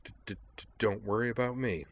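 A shy teenage girl played by a man stammers softly through each sentence in a storybook reading. Her voice stays hushed and a little higher than plain speech. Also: fairly quick, gravelly. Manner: heavy stutter.

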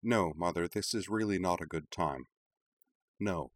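A man's voice: sits low, a little slow, no room sound. A man voicing an exasperated young woman in an audiobook, clipped, firm and dryly sarcastic. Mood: irritated. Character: young woman, sharp-tongued, exasperated with her mother. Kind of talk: audiobook character voice.

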